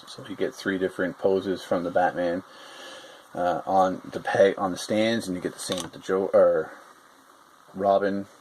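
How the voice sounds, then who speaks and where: Deep-voiced man rambling through a toy review. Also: steady tone, moderate pace, a little mellow, a little hiss or hum, rough recording. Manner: rambling, hesitant, lots of fillers. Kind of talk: casual toy review chat.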